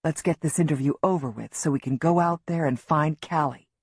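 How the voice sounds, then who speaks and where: A woman voicing Lewis, a soft-spoken but firm man who reassures a distraught mother. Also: fairly high pitch, brisk, breathy edge, dry recording. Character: steady male agent, worried family friend.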